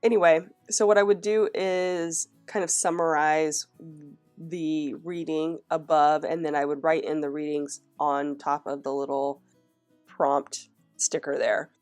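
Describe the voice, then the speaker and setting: A young woman talks through her planner pages in a relaxed, chatty way, pausing on fillers and starting her sentences over. Her voice sits very low and is rough and scratchy from a cold. Faint guitar music plays softly behind her. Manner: chatty, with frequent fillers and restarts. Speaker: planner vlogger. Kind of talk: casual planner flip-through narration.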